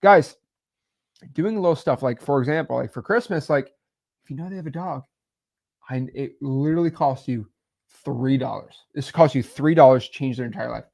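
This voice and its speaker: A man hosting a casual business chat, rambling and animated with lots of fillers. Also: medium pitch, moderate pace, creaky. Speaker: real estate podcast host.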